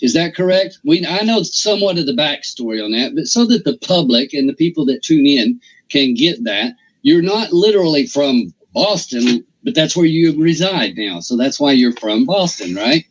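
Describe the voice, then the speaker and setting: A man's voice: a little high, lively intonation, normal speed, husky. A man hosting a casual interview, asking long, rambling questions full of restarts and fillers. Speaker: interview host. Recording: dull, lo-fi audio.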